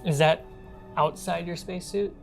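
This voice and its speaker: Low-voiced woman game master, improvising scenes conversationally, soft music behind her. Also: steady tone, normal speed, creaky. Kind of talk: narrating a tabletop roleplaying game.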